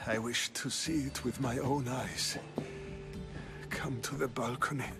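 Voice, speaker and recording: A man plays an ailing old Greek statesman in a game cutscene. He speaks slowly and gravely, weak and resigned but still proud, with music clearly playing behind him. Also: mid-range voice, fairly even intonation, close to an everyday voice. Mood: resigned, regretful. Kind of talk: dramatic video game acting.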